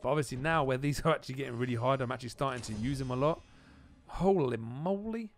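A man giving casual, excitable gameplay commentary as a video host, often repeating phrases for emphasis. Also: medium pitch, fast talker.

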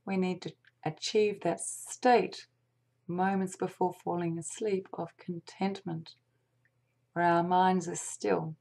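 Female meditation guide, hushed and half-whispered, lowish and gravelly at the edges, soothing, unhurried phrasing with long gaps. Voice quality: hushed, breathy, partly whispered. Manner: gentle, soothing, deliberate with long pauses.

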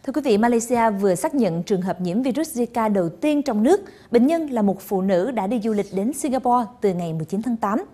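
A woman's voice: a little high, lively intonation, brisk, hardly any pauses, dark tone. A young woman presenting the news in a TV studio, addressing viewers in a polished, formal manner. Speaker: news anchor.

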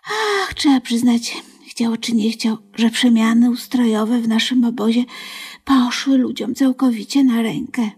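A woman's voice: a little high, unhurried, a little mellow, breathy edge, exaggerated voice. An actress playing a naive, devoted older woman in a radio comedy, effusive, fussy and hopeful, with hesitant, coaxing phrasing. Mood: excited, fretful. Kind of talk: Polish comedy radio play acting.

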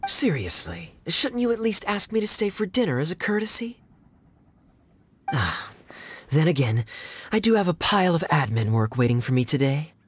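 Suave, teasing captain, swooping intonation. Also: male voice, on the high side, sing-song, moderate pace, a little hiss or hum. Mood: playful. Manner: theatrical, playful, smooth-talking. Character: suave, teasing young male cavalry captain. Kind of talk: video game voice acting. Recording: game music behind.

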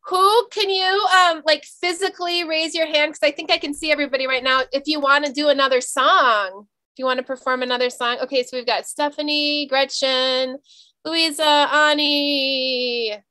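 Woman host, high bright voice, dry close sound, video call. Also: steady tone, moderate pace, no echo. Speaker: open mic co-host. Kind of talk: casual hosting. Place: home music room.

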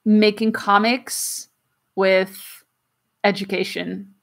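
Woman, 30s, non-native English speaker, livestream host; relaxed, chatty, lots of fillers and laughs, recorded on a webcam in a small home room. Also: mid-range voice, creaky. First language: not English. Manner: chatty, frequent "um"s and quick laughs, gestures while explaining. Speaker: comic artist and livestream host. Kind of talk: casual livestream Q&A. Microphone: webcam at desk distance.

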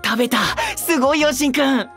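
A man's voice: very high voice, brisk, vocal fry, a bit bright, soft background music, exaggerated voice. A man playing an earnest, boyish, easily flustered young aspiring idol in an audio drama, friendly in manner. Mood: excited, flustered. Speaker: protagonist and narrator. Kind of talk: anime-style voice drama acting.

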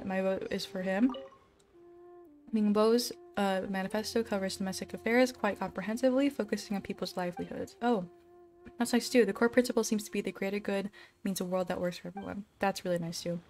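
A woman's voice: medium pitch, brisk, a little mellow, faint music behind. Sassy young woman streaming. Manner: offhand, sassy. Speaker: game streamer. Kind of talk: casual chat, live game commentary.

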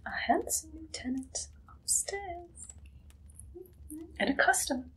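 A young woman playing an eager, shifty Victorian pie-shop keeper in an ASMR roleplay, breathy and whispery, halting and coyly secretive. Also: extremely high pitch, a bit bright, heavily acted. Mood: coy, dreamy. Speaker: ASMR performer. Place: small dim indoor room.

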